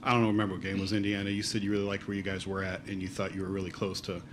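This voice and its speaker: A man's voice: deep voice, completely flat delivery, racing, background noise. A man, a sports reporter asking long questions at a press conference, restarting his phrases as he goes.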